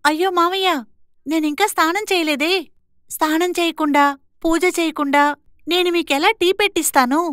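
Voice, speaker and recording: An extremely high female voice, recorded dry, playing a confident young woman as a voice actress does her. She is bold and assertive, in cartoon moral-story style. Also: fairly even intonation, normal speed, long pauses, a bit bright, no room sound. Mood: cheeky, defiant. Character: bold, educated young twin sister. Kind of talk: animated moral-story voice acting.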